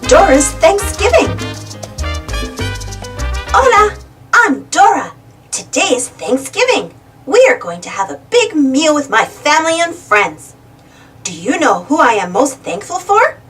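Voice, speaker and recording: A woman's voice: sits high, sing-song, a little slow, long pauses, a bit bright, distant mic, soft background music. A woman reading a storybook aloud to young children, expressively and addressing the listeners directly. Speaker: storybook reader.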